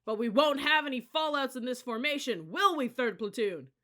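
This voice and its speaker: Young male cadet leader, acted out by a story reader: raised pitch, forceful, commanding, chanting and hollering orders in sing-song rhythm. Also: animated, moderate pace. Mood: loud, rousing. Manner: chanting running cadences and barking orders.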